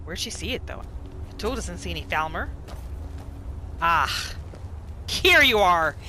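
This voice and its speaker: A woman hosting a roleplay gaming video, giving casual, expressive commentary as she plays. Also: lowish voice, a little slow, noisy background. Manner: expressive, reactive. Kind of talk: casual let's play commentary mixed with in-character roleplay. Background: game music.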